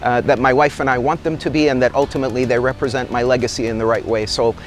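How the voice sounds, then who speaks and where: A middle-aged man from Canada, a company executive, speaking in a measured and articulate way in a corporate interview outdoors in a garden. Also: mid-range voice, fairly even intonation. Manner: measured, articulate, gestures while talking.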